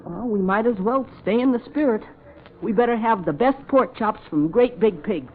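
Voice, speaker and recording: An actress playing a blunt, plucky, wisecracking sidekick with a wry manner, in old-time radio comedy style. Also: female voice, a little high, animated, moderate pace, natural voice. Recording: tinny, noisy old radio recording.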